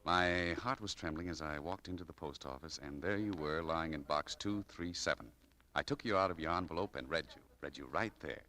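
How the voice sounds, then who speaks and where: A modest young shop clerk in an old radio play. His voice is deep, and he speaks in an earnest, slightly halting way, like someone smitten. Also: sharp tone. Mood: eager, smitten. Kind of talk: 1940s radio acting.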